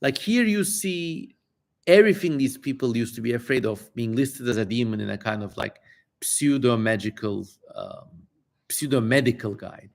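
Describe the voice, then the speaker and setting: A man's voice: medium pitch, lively intonation, moderate pace, gravelly. A man doing a dramatic live reading of an old text, theatrical on strange names, with casual, irreverent, sweary asides. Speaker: streamer reading an ancient text aloud.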